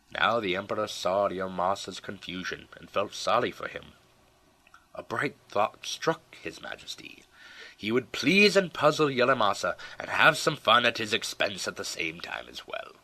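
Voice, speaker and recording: A man reading a story as an audiobook narrator, in a measured, formal storytelling delivery. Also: low-pitched, sharp tone, rough recording. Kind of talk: scripted storybook narration of an old legend.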